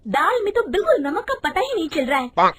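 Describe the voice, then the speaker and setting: A woman voicing a brisk, outspoken young daughter-in-law in a storybook tale, with a blunt manner. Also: very high voice, big pitch swings, voice pushed up. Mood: chiding. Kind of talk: animated Hindi storybook voice acting.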